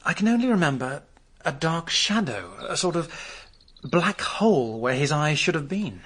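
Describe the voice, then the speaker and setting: An easygoing British village drunk giving witness in a radio drama. His husky voice wanders up and down as he rambles. He is hesitant and vague, feeling his way through each recollection. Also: on the high side, very animated, moderate pace, a bit bright. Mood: hesitant, puzzled.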